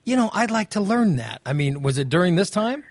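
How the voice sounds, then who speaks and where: Man, fairly high-pitched, dry close sound, radio host, informal questioning, halting, repetitive phrasing. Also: very animated, no echo. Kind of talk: casual, informal interviewing.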